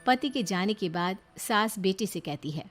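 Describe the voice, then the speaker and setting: A woman narrating a cartoon family story in a steady storytelling manner. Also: mid-range voice, moderate pace. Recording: faint background music.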